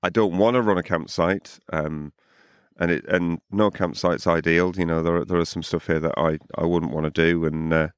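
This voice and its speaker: A man with a very low, hoarse morning voice talks his way through a relaxed camping chat. He rambles and repeats himself in a laid-back way. The recording is close and dry. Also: normal speed, dry recording. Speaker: podcast co-host. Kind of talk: casual chat outdoors at a campsite.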